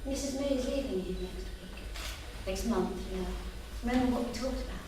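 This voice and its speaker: A woman's voice: a little high, brisk, lightly acted. A woman playing a flamboyant, defiant wife and mother in a staged reading, with a proud theatrical manner. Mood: wistful. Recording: distant, very echoey theatre with noise.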